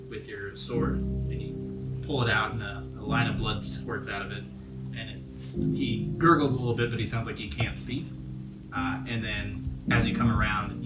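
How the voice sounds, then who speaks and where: Echoey, distant, low-voiced male game master. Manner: descriptive storytelling. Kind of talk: narrating a tabletop role-playing game. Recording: roomy echo, distant mic, music underneath. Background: music bed.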